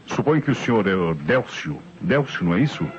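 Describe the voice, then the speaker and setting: A Brazilian actor playing a methodical, skeptical police inspector in an old radio mystery, probing and reasoning aloud with authority. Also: male voice, lowish voice, big pitch swings, fairly quick, a little hiss or hum. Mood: reasoning, commanding. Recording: muffled old radio recording.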